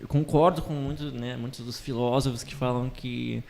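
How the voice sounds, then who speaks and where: A man's voice: medium pitch, steady tone, normal speed, creaky, a little mellow. A man in a casual podcast discussion, thinking aloud and seeking agreement with tag questions. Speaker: podcast co-host.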